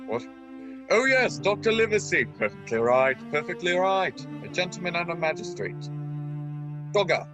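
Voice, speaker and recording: Officious, hearty sergeant played by a man, very animated delivery, radio drama with prominent music underneath. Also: sits high, normal speed. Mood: brisk, blustering. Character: blustering revenue sergeant, brisk and officious man. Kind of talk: radio play acting.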